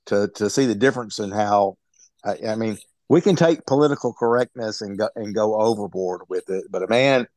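A man's voice: fairly low pitch, moderate pace, a little mellow, no room sound. A man casually giving his take in a book discussion over a video call, wry and often repeating words as he stammers along. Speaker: book club member.

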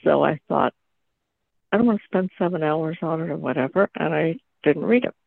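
Gravelly woman on a tinny phone line. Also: low-pitched, brisk, dry recording. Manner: steady, earnest storytelling. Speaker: book group member. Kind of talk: casual group discussion.

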